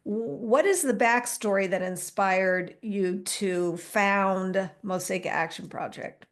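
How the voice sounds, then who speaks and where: A woman hosting a podcast interview, asking questions and giving gentle, brief responses as she listens. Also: medium pitch, slow. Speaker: podcast host.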